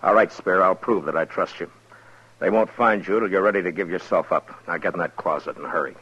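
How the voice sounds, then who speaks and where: A commanding, measured frontier lawman, very low in pitch, muffled vintage radio western sound. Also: a bit bright, slightly rough. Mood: firm, urgent. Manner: terse, measured, authoritative. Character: steady, terse frontier marshal, grown man. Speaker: radio drama actor. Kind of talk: 1950s radio western acting. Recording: muffled old 1950s radio recording.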